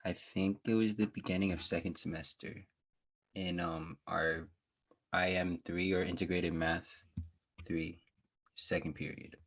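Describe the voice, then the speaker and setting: An eighteen-year-old man chatting on a podcast in a halting, deadpan way. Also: deep voice, dull audio. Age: young adult. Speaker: co-host. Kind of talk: casual chat.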